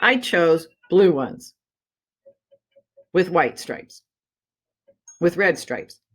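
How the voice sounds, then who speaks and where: Highly animated woman reading a storybook aloud. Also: slightly rough. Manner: dramatic, drawn-out emphasis on key words. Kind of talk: expressive children's storybook read-aloud.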